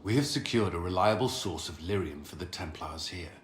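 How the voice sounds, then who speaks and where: A dutiful knight commander acted by a man in a fantasy game, grave and formal. His deep voice moves haltingly in an echoey room with faint noise. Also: lightly acted. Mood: solemn confession. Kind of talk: dramatic fantasy game voice acting.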